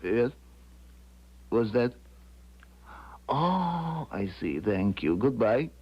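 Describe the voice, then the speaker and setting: An actor playing a fussy, matter-of-fact hotel desk clerk in a 1970s radio drama, politely hesitant and deliberate. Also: male voice, medium pitch, sing-song, breathy edge, muffled recording, exaggerated voice. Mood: polite, apologetic.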